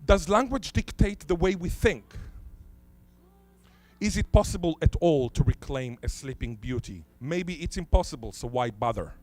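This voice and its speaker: A man whose first language is Hebrew, giving a conference talk in a lecture hall, posing rhetorical questions and stressing key words deliberately. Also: a little high, animated, darkish tone, faint music behind. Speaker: conference speaker.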